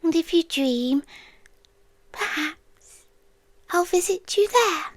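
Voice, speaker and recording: A British woman playing an ancient, possessive, inhuman stalker in an intimate ASMR roleplay, speaking in a hushed, deliberate, teasing way that slips into whispers. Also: extremely high pitch, expressive, no echo, heavily acted. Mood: soothing, menacing.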